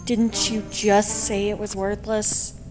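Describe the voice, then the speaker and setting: A woman's voice: medium pitch, little pitch movement, takes it slowly, close to an everyday voice, low-quality recording. An actress playing a practical adult woman adventurer in an audio drama, speaking matter-of-factly. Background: music bed.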